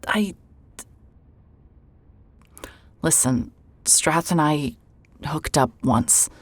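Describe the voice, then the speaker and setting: An actress playing a rueful, conflicted woman reporter with a husky voice and halting delivery in a naturalistic audio drama. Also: low voice, no echo, full character voice. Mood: awkward, remorseful. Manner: hesitant, halting.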